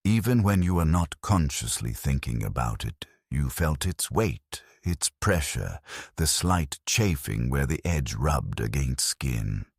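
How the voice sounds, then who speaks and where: A man delivering dramatic scripted first-person narration in a grim, solemn, measured storytelling manner. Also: very deep voice, sing-song, breathy edge, no echo, studio quality. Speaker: narrator of science fiction lore stories.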